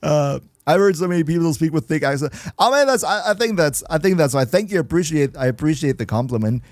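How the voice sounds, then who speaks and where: A man's voice: a little high, lively intonation, brisk, no echo. German man chatting on a gaming stream. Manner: chatty, reads and answers chat, laughs often. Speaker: livestream host. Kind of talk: casual gaming livestream chat.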